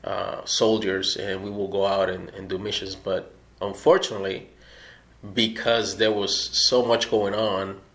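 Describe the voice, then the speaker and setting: A middle-aged man from Puerto Rico, an army veteran telling his story in an oral history interview, speaking in a measured way with many pauses. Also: low-pitched, level tone, a bit bright.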